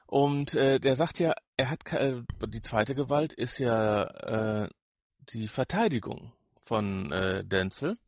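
A man's voice: medium pitch, slow, darkish tone, no echo, studio quality. A man with a German accent co-hosting a podcast in a blunt, colloquial, opinionated way, often repeating himself. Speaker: podcast co-host. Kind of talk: casual opinionated chat.

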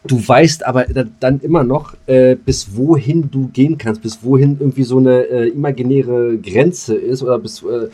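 A man's voice: mid-range voice, normal speed, hardly any pauses, dark tone, breathy edge. A man co-hosting a podcast in loose, casual banter, talking in slang with mild swearing. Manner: slangy, mildly cursing. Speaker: podcast co-host. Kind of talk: casual banter between friends.

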